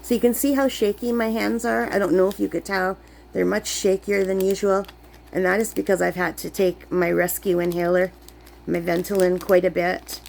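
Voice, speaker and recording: Woman vlogger, under the weather, rambling chat, gravelly fry. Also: mid-range voice, flat delivery, normal speed, a little mellow, slight background noise, low-quality recording. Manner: chatty, rambling, many fillers. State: sick, voice lower than usual. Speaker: crafting vlogger. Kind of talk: casual chat while crafting. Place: camp cabin indoors.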